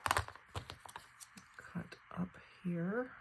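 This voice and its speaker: Low, crackly-voiced woman crafting. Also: creaky, whispered. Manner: thinks aloud while working, unhurried and chatty. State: slightly hoarse, fighting off a cold. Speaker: craft channel host. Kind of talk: casual crafting tutorial narration.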